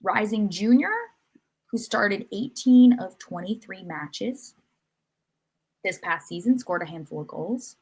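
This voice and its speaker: A woman's voice: medium pitch, lively intonation, takes it slowly, a little mellow, breathy edge, low-quality recording. A woman co-hosting a sports podcast, recapping matches in an informal, lightly joking conversational way. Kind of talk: casual match recap chat.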